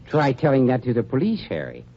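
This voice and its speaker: An actor playing a sly, urbane undertaker in a 1940s radio drama, speaking politely and precisely with dry irony. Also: male voice, mid-range voice, huge pitch swings, brisk. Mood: wry, amused. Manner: polite, dryly ironic, precise, mock-regretful. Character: urbane, sly male undertaker double-crossing gangsters. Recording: muffled, noisy 1940s radio recording.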